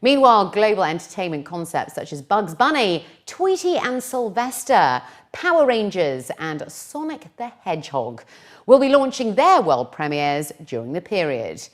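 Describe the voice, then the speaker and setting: A woman's voice: mid-range voice, very animated, normal speed. A woman reading scripted television news as a presenter.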